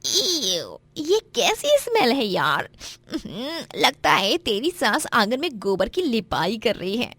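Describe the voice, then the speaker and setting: A gossipy rich girl who wrinkles her nose at everything, her voice high and swooping, as a woman voices her. She speaks slowly and teasingly, in a cartoon. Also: very animated, a bit bright, no room sound, heavily acted. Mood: disgusted. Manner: disgusted, teasing. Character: disgusted rich young woman friend, gossipy.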